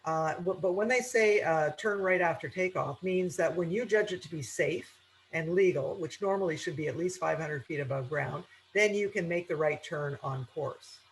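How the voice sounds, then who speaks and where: Female instructor, informal webinar lecture, halting and explanatory delivery, slight background noise. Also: lowish voice, poor audio. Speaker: aviation instructor.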